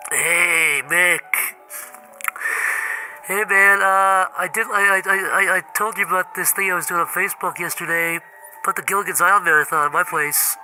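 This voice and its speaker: A needy, petty Jesus played by a man stammers through a comedy voicemail in a high, very sharp voice. He sounds whiny and halting, with music playing behind him. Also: steady tone, poor audio. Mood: awkward, hurt. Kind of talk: improvised comedy voicemail skit.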